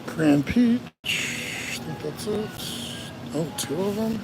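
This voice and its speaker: Gravelly man chatting on a live stream. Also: sits high, lively intonation, slow pace, over music, poor audio. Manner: swears often, chats with viewers. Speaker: live streamer doing delivery driving. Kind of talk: casual live-stream chat. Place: inside a car.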